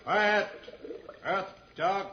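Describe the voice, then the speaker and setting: Villainous alien guard, high voice, haughty and threatening, muffled old radio serial sound. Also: male voice, drawn-out words. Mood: menacing, gloating. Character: menacing, gloating alien dungeon guard, a man. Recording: echoey, muffled vintage radio recording, light noise.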